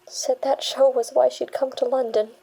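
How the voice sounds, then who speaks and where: A woman acts out a young female bank worker in an audio drama, giving a witness statement. The voice is fairly high and soft-edged, a little dark in tone. The delivery is halting and stammering, yet defensive and insistent. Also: level tone, gravelly, rough recording. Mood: shaken, halting. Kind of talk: dramatic audio fiction acting.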